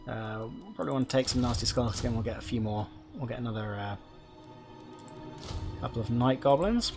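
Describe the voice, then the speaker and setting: A hoarse man, thinking aloud as he plays through a strategy game, hesitant and full of ums. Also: medium pitch, brisk. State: hoarse from being unwell. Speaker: gameplay commentator. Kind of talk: casual let's-play commentary. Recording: music playing behind the voice.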